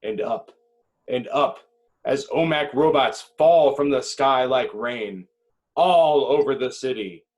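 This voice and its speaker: A man narrates screenplay directions in a mid-pitched voice. He reads slowly and dramatically, setting each phrase apart with deliberate little pauses. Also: a little mellow. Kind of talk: dramatic scripted narration at an amateur table read.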